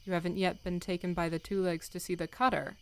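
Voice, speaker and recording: A woman's voice: fairly low pitch, level tone, clean tone, lightly acted. Woman playing a stern, dignified cat clan leader. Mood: solemn. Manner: measured, solemn, commanding. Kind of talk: audiobook fandub acting.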